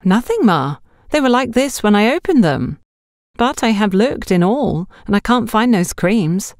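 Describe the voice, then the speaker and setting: A woman's voice: medium pitch, sing-song, unhurried, no room sound, studio quality, voice pushed up. Woman acting a polite, eager young shop girl. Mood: defensive. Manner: polite, deferential.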